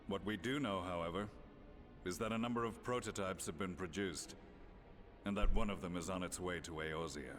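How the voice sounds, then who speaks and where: Very deep grave former commander. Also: male voice, a bit bright, soft background music. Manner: solemn, measured, archaic formal diction. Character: grave, formal, remorseful middle-aged male former imperial commander. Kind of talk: video game cutscene voice acting.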